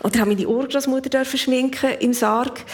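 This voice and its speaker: A woman's voice: high voice, fairly even intonation, a little fast, a little mellow. A woman in her fifties with a Swiss German accent, telling reflective stories in a casual conversation and laughing often. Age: 50s. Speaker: guest.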